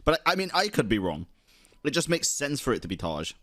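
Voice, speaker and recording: A man reacting casually as he streams a game, slangy, expressive and quick to swear. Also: medium pitch, no echo, clean studio sound. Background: faint game music.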